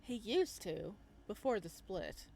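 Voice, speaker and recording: A woman's voice: mid-range voice, expressive, slow, vocal fry, dark tone, breathy edge, full character voice. A woman voicing a guarded, blunt woman who left a company, wry and matter-of-fact, in a visual novel read-aloud. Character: guarded, blunt ex-employee turned community organiser, woman.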